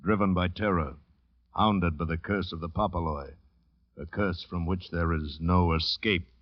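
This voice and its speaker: A man announcing an old-time radio drama in the scripted 1940s manner, ominous, dramatic and measured. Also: sits low, steady tone, muffled recording. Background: loud music.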